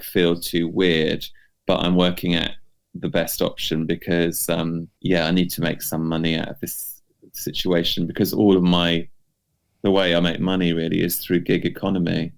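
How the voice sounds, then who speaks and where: A man's voice: deep voice, flat delivery, normal speed, vocal fry. A man co-hosting a podcast, talking in a casual, musing way. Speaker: podcast co-host. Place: remote video chat recording.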